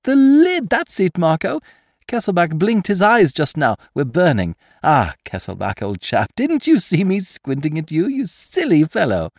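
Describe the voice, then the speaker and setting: Man voicing an excitable, taunting gentleman thief, swooping pitch. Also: no room sound, clean studio sound. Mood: gleeful, mocking. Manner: theatrical, taunting, rapid questioning. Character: triumphant, mocking gentleman thief, excitable and commanding. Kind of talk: dramatised audiobook character voice.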